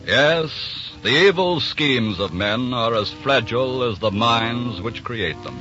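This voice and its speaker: An American man narrating a 1940s radio crime drama in a grave, dramatic, deliberate style. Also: medium pitch, animated, bright, forceful tone, soft background music. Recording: muffled old radio recording.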